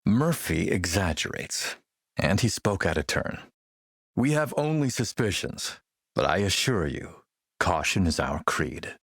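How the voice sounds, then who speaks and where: A man playing a shrewd, menacing militia boss and smooth-talking dealmaker in a video game, gravelly, measured, self-assured and wry. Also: sits low, sing-song, a bit bright, no echo, clean studio sound, full character voice. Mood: calm, guarded. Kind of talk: video game character dialogue.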